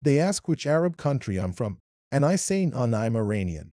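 A man in his fifties from Iran with an Arabic-influenced accent, explaining his career in a measured way as an interview guest. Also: medium pitch, very animated, dark tone, no echo, clean studio sound. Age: 50s. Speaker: interviewee, localization leader. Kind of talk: read interview answers.

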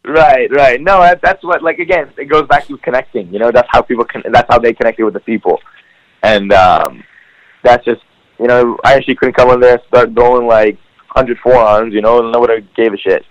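A man's voice: mid-range voice, fairly quick, dry recording, highs cut off. Rambling man, Farsi native speaker. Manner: rambling, full of "like" and "um" fillers. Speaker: guest, professional wrestler. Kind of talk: casual podcast interview.

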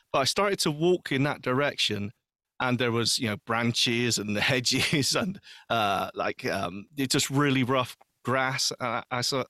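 Bright-voiced man in casual chat. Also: mid-range voice, no room sound, clean studio sound. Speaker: podcast host.

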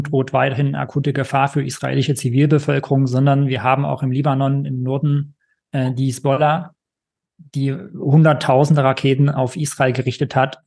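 Male podcast reporter, level monotone, measured and formal. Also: mid-range voice, creaky. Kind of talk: interview questions.

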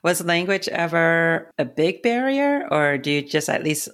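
A woman hosting a casual podcast interview, supportive and quick with affirmations. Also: low-pitched, very animated, a bit bright. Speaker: podcast host.

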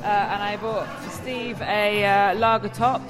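A woman's voice: medium pitch, steady tone, unhurried, faint music behind. A woman chatting casually in a bar. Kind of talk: casual chat.

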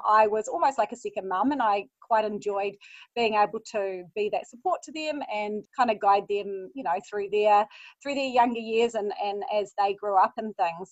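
A woman's voice: mid-range voice, no room sound. A woman in her thirties with a New Zealand accent, a mindfulness coach chatting as a guest on a podcast. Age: 30s. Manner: warm, chatty storytelling with fillers. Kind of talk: podcast interview. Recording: dull, lo-fi sound.

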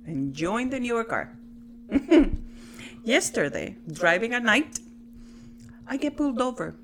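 A woman's voice: fairly high pitch, sing-song, takes it slowly, slight background noise. A woman in her thirties whose first language is not English, telling a self-mocking story and laughing often while co-hosting a casual podcast chat. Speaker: podcast co-host.

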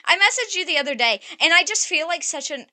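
Blunt, bright-voiced woman in her twenties. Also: very high-pitched, fast. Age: 20s. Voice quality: loose, swearing, rambling with fillers. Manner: blunt, animated storytelling. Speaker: podcast co-host. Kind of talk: casual chat.

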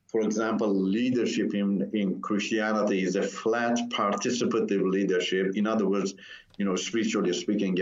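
Low-voiced older man, native Turkish speaker. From Iran. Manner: hesitant, searching for words, many pauses. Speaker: interview guest. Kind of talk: personal testimony in a conversation. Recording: roomy, dull lo-fi sound.

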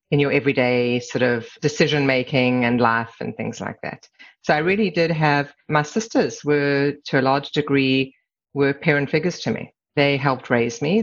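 South African woman, slightly muffled recording. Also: a bass voice. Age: middle-aged. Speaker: podcast guest, lawyer. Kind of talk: reflective personal interview. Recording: slightly dull, lo-fi sound.

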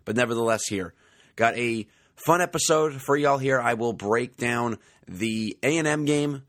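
A young man, hosting a sports podcast in a casual, chatty monologue, his voice slightly raspy. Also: mid-range voice, unhurried, a bit bright, no room sound, clean studio sound. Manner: chatty, conversational, many fillers. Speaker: podcast host.

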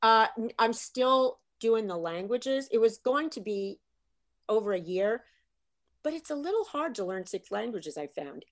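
A middle-aged woman, an author, talking in a lively, chatty way and slipping into bits of Italian. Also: on the high side, a little slow. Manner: animated, chatty, slips into Italian phrases. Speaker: author guest. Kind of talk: casual video chat.

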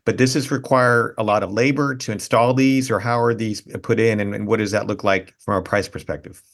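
A man's voice: on the low side, steady tone, fairly quick. A man hosting an interview, asking long rambling questions with repeated words. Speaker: host.

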